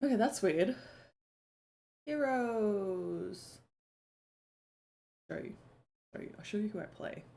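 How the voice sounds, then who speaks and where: A young woman, chatting casually on a live stream, filling her talk with "like" and "um", her voice a little croaky. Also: mid-range voice, lively intonation, takes it slowly, long pauses, warm tone. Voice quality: slightly croaky. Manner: chatty, lots of "like" and "um", swears casually.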